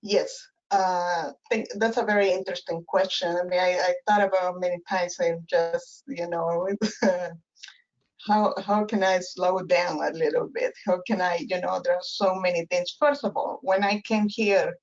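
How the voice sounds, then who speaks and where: A woman's voice: lowish voice, fairly even intonation, normal speed, highs cut off. A woman whose first language is Spanish, speaking with a Spanish accent as a guest professor in a webinar interview, thoughtful and full of restarts. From Spanish-speaking country. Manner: thoughtful, many fillers and restarts. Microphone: video call.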